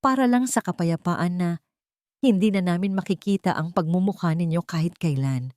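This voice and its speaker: A woman voicing a rich older matriarch in a story, calm, icy, mocking and threatening. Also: lowish voice, normal speed, dry recording, clean studio sound, close to an everyday voice. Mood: haughty, taunting. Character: cold, contemptuous rich older matriarch, menacing and haughty.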